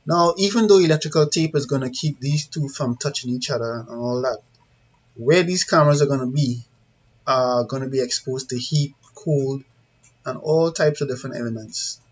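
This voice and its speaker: A man's voice: mid-range voice, moderate pace. A man with a Trinidadian accent giving an informal hands-on tutorial, talking through each step in a plain-spoken, conversational way and checking in with viewers. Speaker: CCTV installer giving a tutorial. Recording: dull lo-fi sound with background noise.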